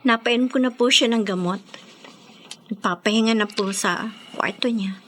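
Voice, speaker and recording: An actress playing an indignant, dutiful younger daughter who scolds insistently and sharply in a Filipino radio drama. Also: female voice, on the high side, moderate pace, a bit bright, slight background noise, close to an everyday voice. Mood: resentful.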